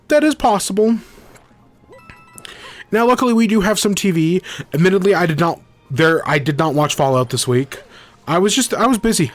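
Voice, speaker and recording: A young man hosting a gaming podcast in a casual, rambling way, congested from a cold with a scratchy throat. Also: a little high. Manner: rambling, self-correcting. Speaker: podcast host. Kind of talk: casual livestream chat.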